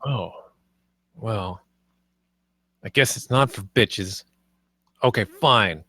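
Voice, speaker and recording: A man's voice: on the low side, extremely slow, pushed and bright, no echo, studio quality, exaggerated voice. A man playing a cocky, crude defendant in a comedy script table read, brash and slangy. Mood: defiant. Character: cocky, crude young man awaiting murder trial.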